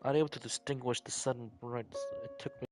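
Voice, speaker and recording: A man reading a visual novel story aloud in a deliberate, dramatic narration, as a game player. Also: medium pitch, fairly even intonation, music in the background.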